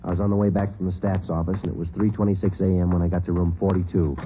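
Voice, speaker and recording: A man's voice: low voice, level tone, fast talker, over music, close to an everyday voice. A male actor playing a terse American police sergeant, clipped and matter-of-fact, in a 1940s radio drama. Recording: noisy, tinny 1940s radio broadcast.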